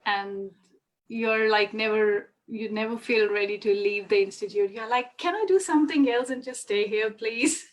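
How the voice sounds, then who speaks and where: A woman's voice: on the high side, moderate pace. A woman hosting a casual spiritual chat on a live video call from home, effusive and laughing often. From Australia. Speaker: host.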